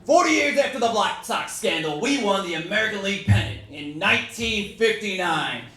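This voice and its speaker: A jovial old-time baseball promoter spins folksy tales, acted by a man in a staged reading. The voice is high and bright, heard from a distance in an echoing room. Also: expressive, moderate pace, exaggerated voice. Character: jovial, boastful old-time baseball team owner and showman. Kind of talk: dramatic reading, first-person monologue. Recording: echoey room from far off, faint noise.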